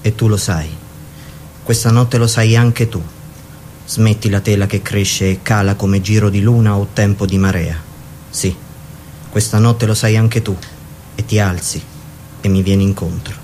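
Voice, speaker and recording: A man's voice: on the low side, level tone, measured pace, long pauses, darkish tone, a little hiss or hum. An actor playing an ardent, poetic suitor seducing a queen, intimate and commanding, in a radio drama. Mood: seductive. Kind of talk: theatrical radio drama monologue.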